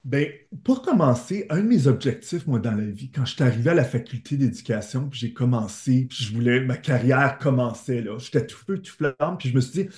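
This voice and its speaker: Enseignant ontarien dans une pièce résonnante. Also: male voice, mid-range voice, expressive, fairly quick, few pauses, darkish tone, echoey room. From Eastern Ontario, Canada. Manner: earnest, enthusiastic storytelling. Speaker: teacher, guest. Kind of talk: interview answers. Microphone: video call.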